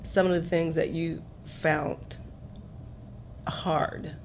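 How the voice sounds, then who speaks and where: Low-voiced woman hosting a chat. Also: little pitch movement, drawn-out words. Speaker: radio show host. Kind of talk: casual studio chat. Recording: noisy studio recording.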